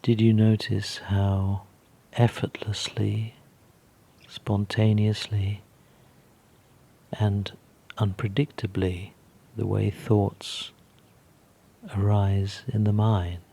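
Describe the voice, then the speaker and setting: Male meditation guide, low-pitched and creaky, speaking very slowly with calm pauses. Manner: gentle, calm, unhurried with long pauses.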